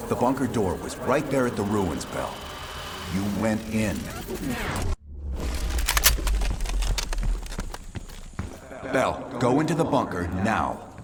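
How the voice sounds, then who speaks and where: A man's voice: fairly low pitch, big pitch swings, moderate pace, background noise. An actor playing a hard, commanding male interrogator in a cinematic video game, speaking tersely and insistently. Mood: pressing, impatient. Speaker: intelligence operative running an interrogation.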